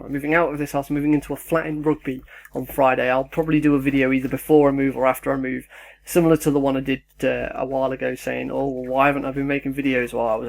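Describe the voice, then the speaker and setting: A man's voice: mid-range voice, creaky. A young man, rambling casually and self-deprecatingly in his home kitchen as a YouTuber. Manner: chatty, sidetracked, self-deprecating.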